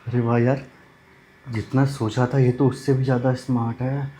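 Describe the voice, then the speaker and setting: An actor playing a sleazy young man who poses as a doctor, talking smooth and suggestive in a short film. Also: medium pitch, level tone, moderate pace, dark tone, slight background noise, lightly acted. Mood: scheming.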